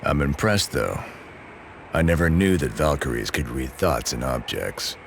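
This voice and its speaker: An actor playing a gruff, proud mercenary in a video game, terse and wry. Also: male voice, a bass voice, expressive, measured pace, a little hiss or hum, heavily acted. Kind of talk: dubbed video game cutscene acting.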